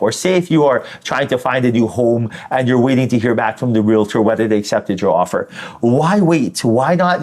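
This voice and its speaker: A man's voice: mid-range voice, darkish tone. A man giving an informal spiritual tarot reading straight to the listener, encouraging and effusive, with colloquial phrasing and repeated phrases for emphasis. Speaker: tarot reader.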